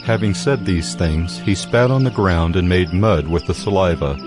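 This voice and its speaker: Casting: male narrator, lowish voice, measured scripture storytelling with clear music underneath. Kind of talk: scripted audio Bible narration.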